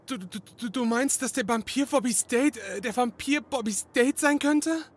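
A man's voice: very high voice, normal speed. A man playing an anxious, naive young detective's assistant in a comedy audio drama, flustered and exclamatory. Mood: panicked.

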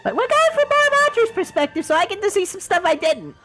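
A woman's voice: very high-pitched, sing-song, fast, a bit bright. A woman doing playful gameplay commentary in character, with sing-song, theatrical exclamations. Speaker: gamer playing in character.

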